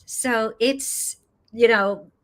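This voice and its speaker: A middle-aged woman from Los Angeles, a yoga teacher speaking as an interview guest in an unhurried way, laughing often and pausing with frequent "um"s. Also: on the high side, a bit bright. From Los Angeles, United States. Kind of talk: casual interview chat.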